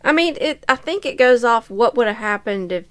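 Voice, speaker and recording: A woman's voice: on the high side, lively intonation, moderate pace, no room sound. A woman co-hosting a podcast, reading fan fiction aloud. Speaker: podcast co-host.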